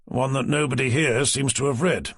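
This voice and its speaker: A man voicing a calm, dry-witted male chief inspector who asks measured, probing questions. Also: medium pitch, vocal fry, breathy edge, no room sound.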